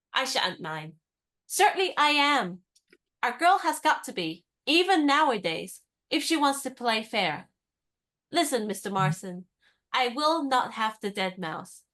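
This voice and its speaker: A spirited, independent young woman in a radio play, acted by a woman, teasing and stubbornly fair-minded. She speaks crisply and insists on her point, in a fairly high voice. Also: a bit bright.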